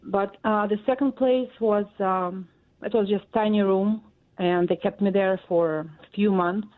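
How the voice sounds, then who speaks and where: A woman in her forties with a Russian accent, a native Russian speaker giving halting answers with many pauses and fillers. She is heard over a thin, tinny phone line that cuts off the lows and highs, and her pitch sits in a middle range for a woman. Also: fairly even intonation. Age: 40s. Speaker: guest. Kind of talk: interview answers.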